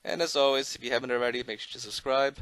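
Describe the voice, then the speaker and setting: A man's voice: mid-range voice, fairly even intonation, rapid-fire, a bit bright, smooth, no room sound. A man giving casual, unscripted gameplay commentary as a video host, talking in an easygoing, rambling, conversational way. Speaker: host of a gaming video channel.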